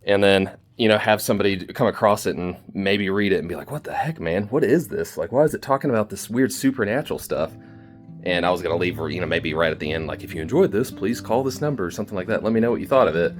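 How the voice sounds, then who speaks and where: A man talking in a casual, rambling vlog style to his listeners, informal and halting as he thinks aloud. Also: low-pitched, expressive, soft background music. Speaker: storytelling channel creator and host. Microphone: phone video recording.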